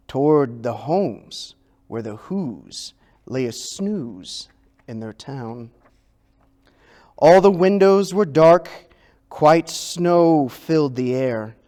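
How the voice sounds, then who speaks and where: A man with a fairly high voice reads a storybook aloud at a very slow pace. His delivery is expressive and theatrical, with words drawn out for effect. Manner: dramatic, stretches and repeats words for effect. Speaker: youth ministry director reading a children's story.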